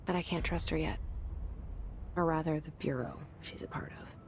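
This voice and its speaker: Low, creaky, wary woman's inner voice. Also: normal speed, slight background noise. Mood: warming but distrustful. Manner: hushed, confiding, clipped. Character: Jesse's inner voice, wary, secretive young woman. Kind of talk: intimate inner monologue in a video game.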